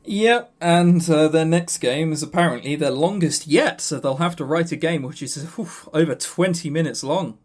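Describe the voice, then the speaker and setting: A man co-hosting a podcast, chatting casually and opinionatedly about games in a halting, animated way. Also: fairly high pitch. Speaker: podcast co-host.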